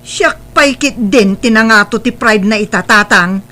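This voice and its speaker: A woman playing a bright, defiant proud daughter. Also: mid-range voice, measured pace. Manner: curt and dismissive. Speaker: voice actor. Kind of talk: family radio drama.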